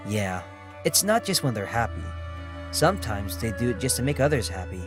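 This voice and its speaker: A man playing a gentle, cheerful, patient young savior in an audio drama, with a kindly, reassuring manner. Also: fairly low pitch, big pitch swings, normal speed. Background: music.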